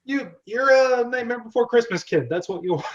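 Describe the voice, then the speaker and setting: A man's voice: very high-pitched, expressive, a little fast, dull audio. A man hosting and narrating a script read-through, chatty and jokey with a theatrical delivery.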